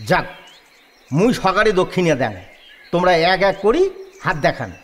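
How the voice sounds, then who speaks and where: A man's voice: sits high, big pitch swings, measured pace. A man playing a grumbling rustic villager in an audio drama, talking colloquially with a North Bengal Rajbanshi accent. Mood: curious, agreeable. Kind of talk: rural audio drama acting.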